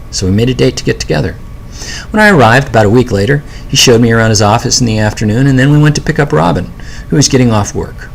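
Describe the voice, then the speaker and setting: A man narrates a first-person audiobook in an expressive storyteller's manner. His medium-pitched voice moves widely up and down, with a crackly, gravelly texture. Some noise sits behind the reading. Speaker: author reading own audiobook.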